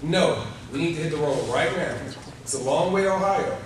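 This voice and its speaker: An actor playing a brisk, upbeat 1950s family father in a live radio play. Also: on the high side, expressive, natural voice. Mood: brisk, hurried. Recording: echoey theater, distant mic, clear noise.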